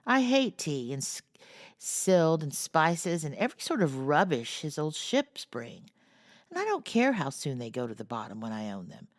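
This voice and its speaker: A woman voicing a restless, impatient teenage boy in a classic storybook, lively and emphatic, lifting her voice above her narration. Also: deep voice, moderate pace, no room sound, clean studio sound. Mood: frustrated.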